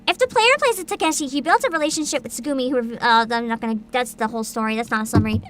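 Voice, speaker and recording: A woman's voice: on the high side, very fast, heavy background noise. A woman hosting a livestream, chatting casually and reading bits aloud in a bubbly, enthusiastic manner. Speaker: virtual streamer host.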